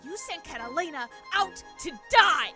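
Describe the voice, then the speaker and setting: A woman's voice: extremely high pitch, expressive, moderate pace, over loud music. A woman playing a cunning, bitter traitor in an audio drama, mocking and theatrical in her delivery. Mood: taunting.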